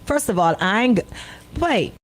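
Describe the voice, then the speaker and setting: A woman with a Bahamian accent who co-hosts a radio show, chatting casually in an animated, opinionated and emphatic way. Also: mid-range voice, moderate pace. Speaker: radio host.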